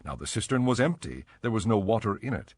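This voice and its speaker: Low-pitched man narrating scripture. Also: sing-song, brisk, slightly rough, no room sound, clean studio sound.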